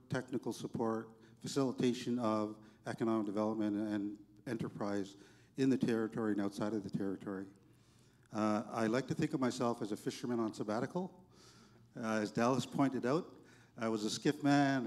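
A man speaking on a conference panel in a large hall, reflective and soft-spoken, with frequent fillers and restarts. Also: mid-range voice. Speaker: panelist, economic development executive.

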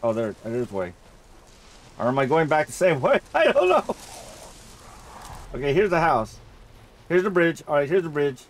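A man's voice: fairly high pitch, very animated, normal speed, pauses often, a little hiss or hum. A man giving casual Let's Play commentary on a horror game, rambling and thinking aloud as he plays, often repeating himself. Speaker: gamer narrating a horror game playthrough.

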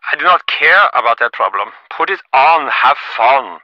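A man's voice: mid-range voice, animated, normal speed, dry recording, highs cut off, close to an everyday voice. A man voicing a smug, impatient rich businessman in a video game, glib and self-important, with stammering, sarcastic delivery. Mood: breezy, dismissive. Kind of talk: acted game dialogue.

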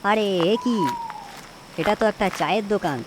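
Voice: mid-range voice, moderate pace, noisy background, natural voice. An actor voicing a wary village woodcutter in a spooky cartoon, speaking in exclamations and chiding his friend. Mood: wary, puzzled. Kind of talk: cartoon character acting.

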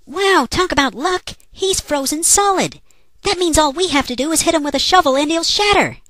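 A small, exasperated fairy sidekick, played by a woman with a high, bright voice. She scolds sarcastically with lively swings, in a parody cartoon style. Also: normal speed, no echo. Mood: urgent. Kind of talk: fan parody cartoon dub.

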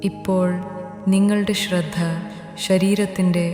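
Woman guiding sleep meditation in deep reverb. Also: flat delivery, a little slow. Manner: soothing, gentle, instructional. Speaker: guided meditation narrator. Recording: echoey hall reverb under music. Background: new-age meditation music.

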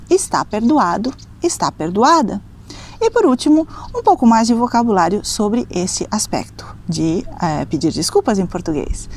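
A woman in her forties giving a beginner language lesson outdoors, speaking clearly and carefully into a phone held at arm's length. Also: mid-range voice, darkish tone. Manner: clear, slow, careful teaching delivery with repeated example phrases. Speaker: language teacher. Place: outdoors on a seaside cliff. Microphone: phone camera at arm's length.

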